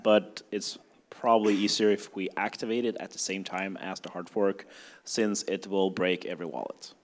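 A young man on a conference panel making technical points informally in halting, restarted phrases. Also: sits low, steady tone. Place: conference room stage before a seated audience. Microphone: handheld microphone.

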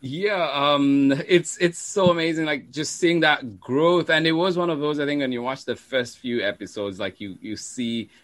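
A man, a teacher being interviewed, talking casually and reflectively in halting, trailing clauses. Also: fairly high pitch, a bit bright, dry recording. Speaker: teacher and storytime show creator, guest.

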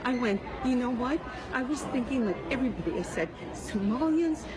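A woman in her fifties arguing defensively in a store, halting and rambling as she explains herself. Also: fairly high pitch, animated, crowd noise. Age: 50s. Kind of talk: heated argument. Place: big store aisle, indoors.

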